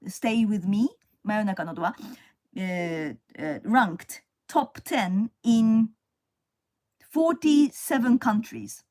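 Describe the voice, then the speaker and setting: Slow-speaking Japanese woman streaming live. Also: a little high, a little mellow. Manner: unhurried, stops often with fillers, greets viewers. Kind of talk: casual live-stream chat.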